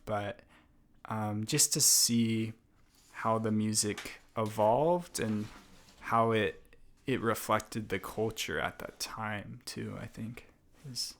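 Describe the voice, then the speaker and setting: A young man as a podcast guest, chatting in a thoughtful, unhurried way with many fillers and restarts. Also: fairly low pitch. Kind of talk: casual interview conversation.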